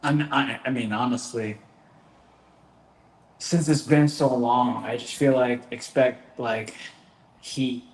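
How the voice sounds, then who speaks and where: A man who is a fighter, joking and rambling in a casual interview, often trailing off quietly. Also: mid-range voice, steady tone, slow, pauses often. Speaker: fighter, guest. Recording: echoey room, faint background noise.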